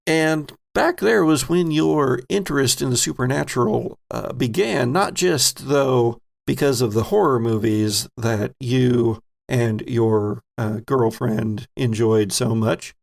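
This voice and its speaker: A man hosting and narrating a horror role-playing game as game master, theatrical and deliberate, with playful dramatic emphasis. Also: mid-range voice, creaky. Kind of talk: theatrical narration for an actual-play horror podcast.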